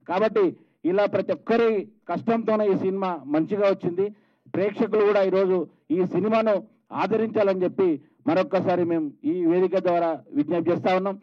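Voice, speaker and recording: Higher-pitched Telangana Telugu man, dull recording. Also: dry recording. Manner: calls people out by name, conversational. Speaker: film producer. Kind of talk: informal public thank-you speech at a film event. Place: film trailer launch stage.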